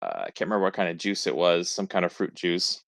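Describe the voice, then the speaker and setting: A man with a low voice and plenty of fry chats casually on a film commentary. He talks as one of the participants. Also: very animated, quick pace, a bit bright.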